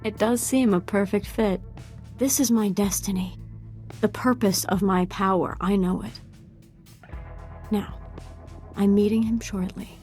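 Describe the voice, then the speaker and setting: An actress playing an eager, zealous young Sith apprentice in a video game, intense and articulate. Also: female voice, medium pitch, fairly even intonation, measured pace, pauses often, darkish tone, soft background music, natural voice. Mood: eager, fervent. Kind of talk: video game dialogue acting.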